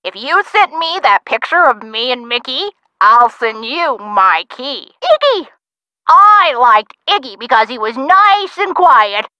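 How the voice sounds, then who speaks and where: A woman's voice: high voice, very animated, unhurried, sharp tone, no room sound, full character voice, poor audio. An actress playing a feisty older woman, a gangster's chatty former sweetheart in a video game, with theatrical, folksy old-time slang. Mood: spirited, affectionate. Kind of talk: video game character dialogue.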